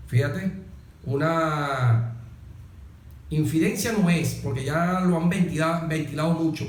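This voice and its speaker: A man's voice: sits high, roomy sound. A middle-aged Venezuelan man delivering a patriotic political monologue on a talk show, emphatic and oratorical, using repetition and rhetorical questions. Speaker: radio host and former soldier. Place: radio studio.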